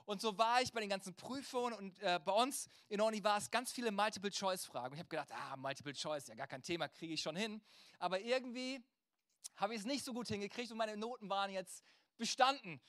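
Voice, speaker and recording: A man's voice: high voice, fast talker, a bit bright, no echo, studio quality. A male pastor preaching a sermon in a conversational, rhetorical way, speaking directly to his listeners.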